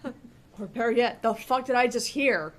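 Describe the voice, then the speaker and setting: A woman's voice: on the high side, unhurried. A woman gaming streamer chatting casually through a playthrough, joking and swearing freely. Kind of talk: casual playthrough chat with read-aloud game dialogue.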